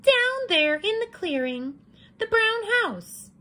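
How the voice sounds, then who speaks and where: Sassy, self-admiring little girl, acted by a woman, high swooping pitch, preening picture-book delivery. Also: sing-song, slow, sharp tone, full character voice. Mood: bragging. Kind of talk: children's picture book read-aloud.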